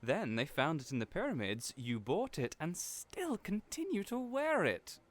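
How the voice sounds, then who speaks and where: A flamboyant hairstylist, put on by a man for an audio roleplay, with a voice pitched noticeably higher than the performer's own. His delivery swoops up and down, and he is fussy and playful, always teasing. He fusses over his client like a doting parent. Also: very animated, measured pace.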